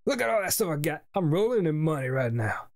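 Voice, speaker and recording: A man's voice: on the high side, sing-song, quick pace. A young man giving casual let's play commentary in a rambling, jokey way. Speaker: gaming video host. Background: game music.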